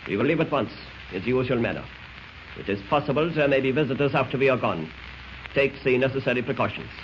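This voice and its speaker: A man's voice: medium pitch, fast, natural voice. German heavy, male actor: icily formal, threatening, vintage radio drama, dull recording with faint hiss. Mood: icily polite. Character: cold, menacing German villain, formally polite. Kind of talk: 1940s radio acting. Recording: muffled, hissy old radio recording.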